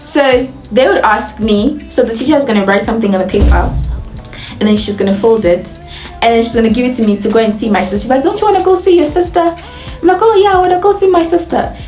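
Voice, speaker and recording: A woman's voice: a little high, fast talker, roomy sound, faint music behind. A young woman from Nigeria with a Nigerian accent, telling a casual, chatty story as a vlogger, laughing often and filling with "like". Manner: chatty, animated, laughs often, heavy use of "like".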